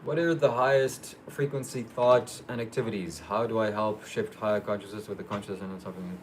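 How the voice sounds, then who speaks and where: A man hosting a casual live Q&A, reading viewer questions aloud and answering them briefly in a matter-of-fact, low-key way. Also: fairly quick, a little hiss or hum, low-quality recording. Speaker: livestream host.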